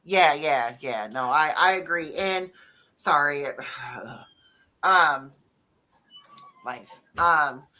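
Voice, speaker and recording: A young woman with a deeper voice, co-hosting a relaxed, chatty talk show with lots of fillers. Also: very slow, pauses often. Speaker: co-host. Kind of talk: casual chat.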